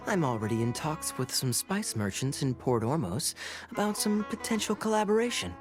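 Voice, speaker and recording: Smooth teasing knight captain, game cutscene, lively swooping delivery, soft music under it. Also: male voice, fairly high pitch, normal speed. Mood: sly. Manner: teasing, smooth, playful chuckles. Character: suave charming male knight captain, sly. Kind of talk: video game cutscene voice acting.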